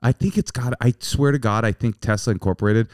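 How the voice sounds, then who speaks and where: A man's voice: mid-range voice, fairly quick, no echo, studio quality. A man co-hosting a podcast, chatting casually and jokingly, often acting out what other people said. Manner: jokey, animated, acts out quoted voices. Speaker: podcast co-host. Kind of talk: casual banter.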